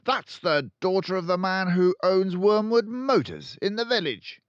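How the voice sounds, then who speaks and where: Storybook villain: bullying headmistress played by a man, high and loud, barked out impatiently, dull recording. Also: sing-song, measured pace, clear, resonant tone, no room sound. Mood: emphatic, approving. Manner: barking, shouting. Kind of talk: children's storybook read-aloud.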